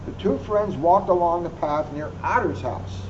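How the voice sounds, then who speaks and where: A police officer reading a children's picture book aloud, adding wry asides. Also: male voice, a little high, moderate pace. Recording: muffled lo-fi recording with faint hum.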